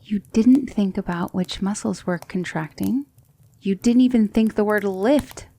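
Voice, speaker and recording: A woman playing a patient, composed demon magic teacher in a soft-spoken ASMR roleplay, measured and reassuring. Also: medium pitch. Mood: patient, firm. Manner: measured, instructive, reassuring, with hushed breathy dips.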